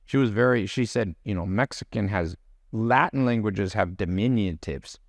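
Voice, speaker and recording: A man's voice: lowish voice, moderate pace, dry recording. Man, casual storyteller, mimics accents, dry studio sound. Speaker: podcast guest, business mentor. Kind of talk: casual chat.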